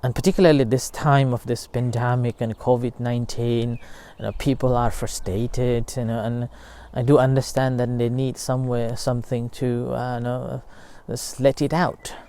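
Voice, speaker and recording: A middle-aged man speaks as a meditation teacher in a warm, mellow voice. His comes slowly, full of pauses and restarts, as he reflects aloud. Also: mid-range voice, flat delivery. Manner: halting, many fillers and restarts. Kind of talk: unscripted dharma talk.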